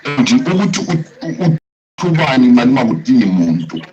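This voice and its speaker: A man's voice: medium pitch, sing-song, dull audio, music in the background. A man joining a live chat, speaking hesitantly with restarts. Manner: hesitant, halting speech.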